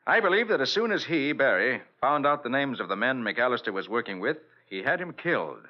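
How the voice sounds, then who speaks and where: An actor playing a confident, quick-witted private detective with an assured, wry manner in an old radio drama. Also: male voice, mid-range voice, sing-song. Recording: dull old radio recording.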